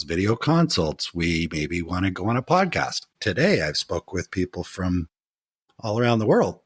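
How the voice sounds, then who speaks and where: Fifties male podcast host, lowish voice, rambling questions, dry close mic. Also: husky, no echo. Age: 50s. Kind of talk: casual interview conversation.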